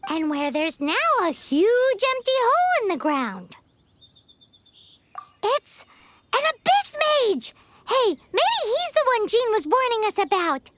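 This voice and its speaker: Tiny squeaky excitable fairy companion. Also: female voice, slow, noisy background, heavily acted. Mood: alarmed. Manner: squeaky, exclamatory, refers to self in third person. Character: tiny excitable floating fairy companion, childlike, speaks in third person. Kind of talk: anime video game voice acting.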